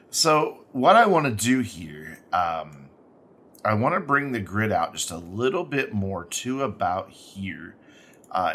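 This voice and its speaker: A man with a congested, stuffy voice, hosting a game channel and thinking aloud in unhurried, self-correcting let's play commentary. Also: sits low, sharp tone, a little hiss or hum. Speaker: gaming channel host.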